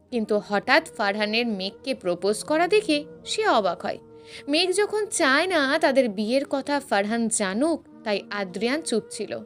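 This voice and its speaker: A woman reading a scripted story as a narrator, with dramatic, emotive delivery. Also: on the high side. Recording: music bed under the narration. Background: background music.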